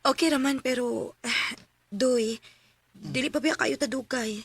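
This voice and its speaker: A woman's voice: high-pitched, measured pace, husky. A woman acting in a radio drama, carefree and defiant in manner.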